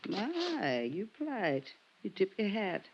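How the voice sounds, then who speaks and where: A woman's voice: medium pitch, wildly swooping pitch, takes it slowly, slightly rough, heavily acted. An actress playing a weary, suspicious landlady of a shabby rooming house in a 1950s radio drama, speaking in terse, ungrammatical phrases. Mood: grudgingly helpful. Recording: muffled 1950s radio recording.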